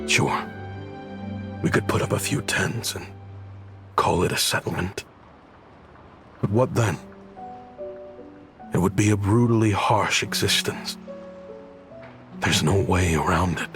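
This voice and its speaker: A man playing a weary, remorseful protector of children in a video game, speaking in a halting, earnest way. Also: deep voice, vocal fry, music in the background, full character voice. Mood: resigned, doubtful. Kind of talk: dramatic video game voice acting.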